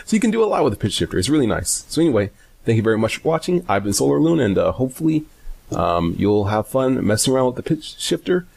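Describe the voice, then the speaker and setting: A man presenting a casual, unscripted software tutorial in a hesitant, rambling way, often correcting himself. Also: medium pitch, big pitch swings, vocal fry, breathy edge. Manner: hesitant, halting, self-correcting, rambling delivery.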